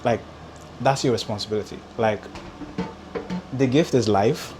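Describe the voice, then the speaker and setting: A man who grew up speaking Igbo, hosting a casual podcast chat and talking in loose, animated runs full of "like" and repeated words. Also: mid-range voice, measured pace, music in the background. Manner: animated, talks with filler likes and repeats words. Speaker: podcast host.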